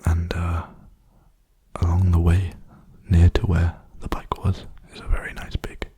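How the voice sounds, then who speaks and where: A young man speaking softly in a hushed, breathy, soothing whisper, rambling casually for an ASMR video. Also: low voice, monotone, a little slow. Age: young adult.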